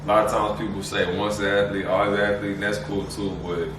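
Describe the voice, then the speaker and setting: A man's voice: fairly low pitch, flat delivery, fast. A man from Nigeria whose first language is Igbo, talking in a laid-back, slangy way on a podcast panel. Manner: laid-back, slangy, talks freely at length. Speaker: panel guest. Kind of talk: casual panel chat. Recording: echoey room, mic at a distance, noisy.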